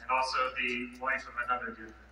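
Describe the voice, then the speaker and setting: A man introducing himself on a theatre stage with dry, deadpan humor, recorded far off in an echoey hall. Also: medium pitch, quick pace, a little hiss or hum.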